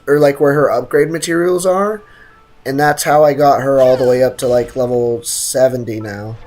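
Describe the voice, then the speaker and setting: A woman's voice: very deep voice, a little mellow. A woman doing casual, slangy live gameplay commentary, teasing and reactive, with a slightly sick throat. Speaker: game streamer.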